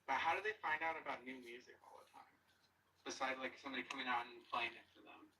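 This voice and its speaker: A man's voice: medium pitch, fast talker, very noisy. A man chatting casually, recorded from a distance. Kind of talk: casual chat. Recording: distant, under loud music.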